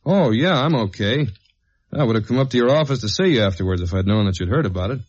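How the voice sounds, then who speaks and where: An actor playing a tough, wry government investigator in a 1950s-style radio detective drama, with clipped, slangy delivery. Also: male voice, mid-range voice, expressive, fast talker, darkish tone, close to an everyday voice. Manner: clipped, slangy, hard-boiled. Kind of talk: 1950s radio acting with first-person narration. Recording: dull vintage radio recording with music.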